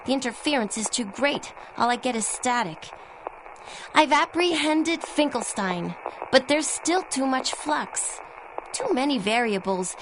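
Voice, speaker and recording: A stern female superhero in a 1930s-style radio serial, over clearly audible noise. Her fairly high voice is clipped, cool and full of authority.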